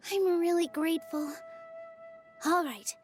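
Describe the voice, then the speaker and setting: A woman playing a sweet, grateful young dancer in a video game, speaking gently and earnestly, with music behind her. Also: extremely high pitch, unhurried. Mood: warm, grateful.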